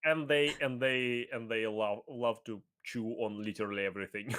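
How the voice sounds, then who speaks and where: A man's voice: medium pitch, steady tone, normal speed, a bit bright. A man as guest in a casual debate chat, rambling with restarts, laughing and swearing casually.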